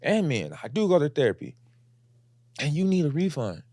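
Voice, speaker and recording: A man's voice: fairly high pitch, very animated, moderate pace, darkish tone, dry recording, studio quality. A man on a podcast making provocative, declarative points in casual talk and repeating them for emphasis. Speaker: podcast host. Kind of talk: casual opinionated podcast commentary.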